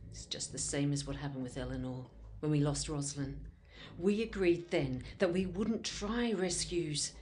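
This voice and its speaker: A woman's voice: sits low, normal speed, few pauses, a little mellow, natural voice. A woman playing a hard-headed, sardonic survivor in an audio drama, blunt and sarcastic. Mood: scornful.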